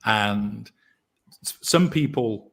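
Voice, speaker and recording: A man with a deep baritone voice speaking in a measured, deliberate way with dry humor, as a guest on a video call. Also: expressive, vocal fry. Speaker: guest commentator. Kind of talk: measured conversational commentary.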